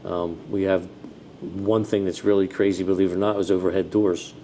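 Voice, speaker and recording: A man's voice: brisk, poor audio. A middle-aged American man, a fire chief, answering interview questions in a plain-spoken, matter-of-fact, conversational way. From New Jersey, United States. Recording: steady background noise with low rumble.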